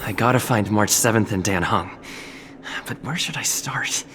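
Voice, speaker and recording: Low-voiced young hero musing, played by a man. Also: moderate pace, a bit bright, lightly acted. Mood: pondering. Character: game protagonist searching for missing companions. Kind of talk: scripted game monologue. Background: music.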